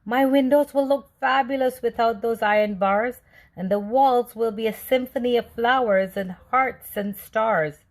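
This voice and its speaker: Woman reading as an eager, excited chimp townsperson. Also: on the high side, a little slow, pitched above the performer's own voice.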